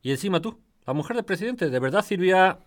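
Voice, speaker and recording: An actor with a Spanish accent playing an excitable residents' association president in an audio drama. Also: male voice, high-pitched, a little fast. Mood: exasperated. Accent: Spanish from Spain. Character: irritable yet flirty male residents' association president, builder.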